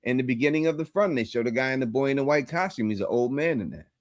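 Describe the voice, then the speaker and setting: A man's voice: mid-range voice, expressive, fast, no echo. Male co-host with a blunt, rambling delivery and a mid-range voice, recorded dry and close. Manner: blunt, repetitive, swears casually. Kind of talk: casual live reaction chat.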